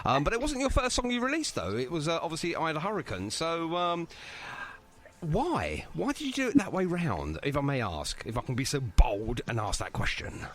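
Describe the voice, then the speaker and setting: Male radio host, higher-pitched and very animated, chatty, jokey band interview style. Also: normal speed. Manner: chatty, jokey, apologetic teasing. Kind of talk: casual band interview with music.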